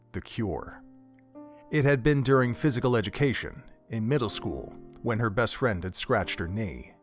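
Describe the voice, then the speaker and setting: A man narrating an audio drama from a script, telling a story in the third person. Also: on the low side.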